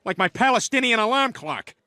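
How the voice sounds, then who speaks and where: A man voicing a loud, dim, crude middle-aged family man in an animated comedy, with a nasal, blustering delivery. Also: very high-pitched, fairly quick, a little hiss or hum, exaggerated voice. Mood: grumbling.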